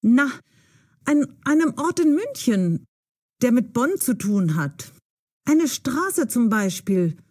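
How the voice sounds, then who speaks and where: A bold young woman hungry for adventure. Her voice is fairly high and very lively, with a slightly husky edge. She speaks briskly and excitably, in clear audio-drama style. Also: no echo, clean studio sound, full character voice. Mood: eager, probing. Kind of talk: German-learner audio drama.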